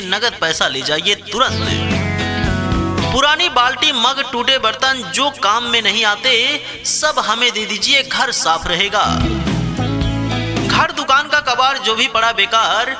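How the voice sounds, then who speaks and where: A man reading a scripted street-hawking advertisement as an announcer, in short, repetitive salesman's calls. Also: very high voice. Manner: repetitive salesman's call, short commanding phrases. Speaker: street announcer for a scrap buyer. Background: background music.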